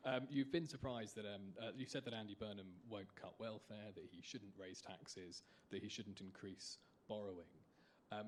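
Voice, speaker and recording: A man with a low voice asks a reporter's question at a press conference. His delivery is hesitant and halting, with some rumbling noise behind him. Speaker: newspaper journalist asking a question. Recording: clear background noise with rumble.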